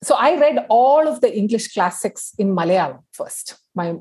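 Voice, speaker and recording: A woman's voice: medium pitch, animated, normal speed, a little mellow, breathy edge. A middle-aged woman from Kerala in India, whose first language is Tamil, chatting as a guest on a video call and telling stories with many fillers. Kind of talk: casual interview chat.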